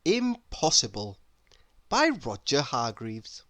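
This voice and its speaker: A woman's voice: rumbling low, sing-song, slow pace, fairly bright tone, no echo. A woman reading a children's story aloud as narrator. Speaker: storybook reader.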